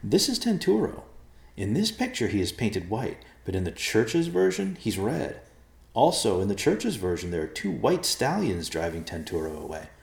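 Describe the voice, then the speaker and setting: Fantasy storybook sidekick: male narrator as curious earnest youth, lively raised pitch, roomy sound. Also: moderate pace, gravelly, heavily acted. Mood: intrigued.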